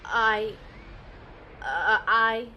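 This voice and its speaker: A woman's voice: fairly high pitch, very slow, pushed and bright, dull audio, slight background noise, exaggerated voice. An actress playing an earnest young girl in an audio drama, telling the story in first person and speaking in it. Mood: shaken, hesitant. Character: earnest young girl narrating her team's battle.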